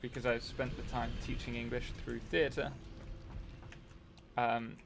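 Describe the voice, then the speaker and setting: A man's voice: mid-range voice, level tone, measured pace, gravelly, a bit bright, faint music behind. A young man hosting a casual live stream while playing a game, rambling and answering chat messages.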